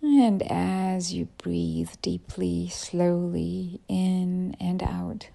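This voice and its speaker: A woman guiding a yoga nidra meditation as a teacher, with soft, breathy phrase endings and unhurried pauses. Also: on the low side, fairly even intonation. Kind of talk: guided meditation.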